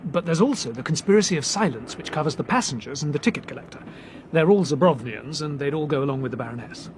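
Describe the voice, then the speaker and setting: An actor playing a breezy, quick-witted young Englishman, jaunty and theatrical, in a British radio drama. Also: on the high side, expressive, slight background noise, natural voice. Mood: jaunty, teasing. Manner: jaunty, teasing, theatrical storytelling.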